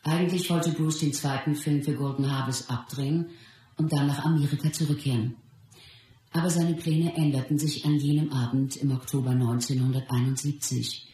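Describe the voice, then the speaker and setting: A woman's voice: very deep voice, mellow, slight background noise. A woman playing a close companion who narrates a star's life story in a scripted radio play.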